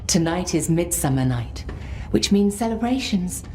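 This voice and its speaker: A woman playing a brisk, teasing fantasy character in a video game, with a wry, sarcastic delivery. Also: fairly low pitch, expressive, a little mellow, a little hiss or hum, close to an everyday voice. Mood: wry, breezy.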